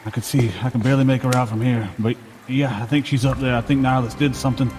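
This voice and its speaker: Guy doing in-character rpg lines, medium pitch, music and rain behind. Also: steady tone, fast talker. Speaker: player in a tabletop game. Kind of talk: in-character roleplay dialogue. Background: music and rain sounds.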